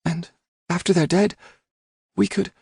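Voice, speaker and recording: A man's voice: high-pitched, flat delivery, measured pace, gravelly, a little mellow, husky, no echo, clean studio sound, pitched above the performer's own voice, exaggerated voice. A man voicing a wary young woman. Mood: shy. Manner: hesitant, earnest pleading. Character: guarded young woman, wary yet hopeful.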